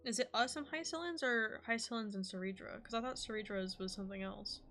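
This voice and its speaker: A woman streaming a game, giving casual live reactions and informally thinking out loud. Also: on the high side, fairly quick, soft background music. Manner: puzzled thinking aloud, informal.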